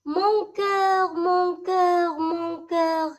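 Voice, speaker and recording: Very high child-like voice, very slow recitation. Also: little pitch movement. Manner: measured, short phrases with pauses. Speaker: reciter of a French school poem. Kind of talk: slow poem recitation for memorization.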